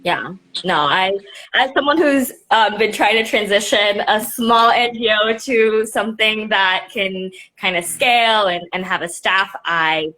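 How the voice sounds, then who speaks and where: A woman's voice: mid-range voice, unhurried, sharp tone, rough recording. A young woman hosting a live video-call interview, talking casually and rambling, with many fillers. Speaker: host, nonprofit director.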